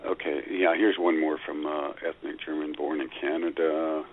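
A man's voice: a bass voice, little pitch movement, moderate pace, a little hiss or hum. A man hosting a talk radio show, reading listener letters aloud in a plain conversational way. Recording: tinny phone-like radio audio.

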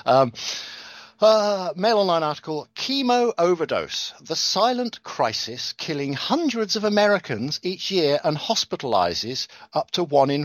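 A man's voice: on the high side, no echo. A man hosting a casual talk show, reading news articles aloud and adding sarcastic comments, often stumbling and restarting his words. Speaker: podcast host reading news.

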